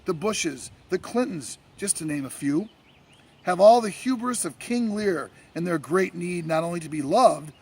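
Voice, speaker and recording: A man with a gravelly voice reading a scripted monologue as theatrical courtroom oratory, declamatory and emphatic, with dramatic pauses. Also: high voice, animated. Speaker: writer reading own essay.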